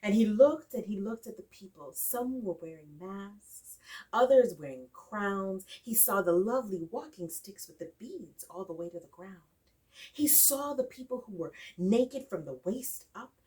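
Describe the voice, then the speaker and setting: Animated woman storyteller, heard from a distance. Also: medium pitch. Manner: dramatic, deliberate phrasing with emphatic repetition. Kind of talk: expressive oral folktale storytelling. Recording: distant microphone.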